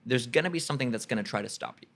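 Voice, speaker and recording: Fast-talking American man telling stories. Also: mid-range voice, gravelly. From United States. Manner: earnest, animated storytelling with frequent "you know". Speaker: English teacher and guest. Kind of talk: casual interview chat.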